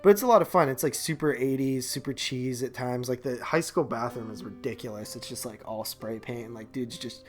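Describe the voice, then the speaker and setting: A man talks as a podcast co-host in a casual movie-review chat. His delivery rambles and is full of slang, at an ordinary male pitch. Faint guitar music plays behind his voice. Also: brisk, few pauses, darkish tone.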